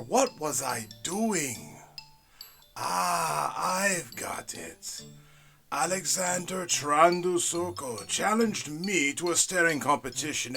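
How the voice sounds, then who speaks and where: A man voicing a muddled old zombie who speaks in grand, formal phrases with sudden high outbursts. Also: vocal fry, fairly bright tone, full character voice. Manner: theatrical, grandly formal, prone to sudden shrieks. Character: addled old zombie man, pompous and well-meaning.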